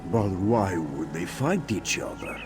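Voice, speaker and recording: A man playing a skeptical, flippant cat-folk villager in a video game, with a low, gravelly voice. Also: very animated, slow, music in the background, exaggerated voice. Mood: dry, doubtful.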